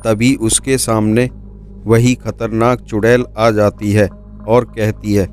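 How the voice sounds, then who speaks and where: Guy narrating a spooky cartoon folk tale, lowish and really unhurried, not much melody, music behind. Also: flat delivery, a little mellow. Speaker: storyteller.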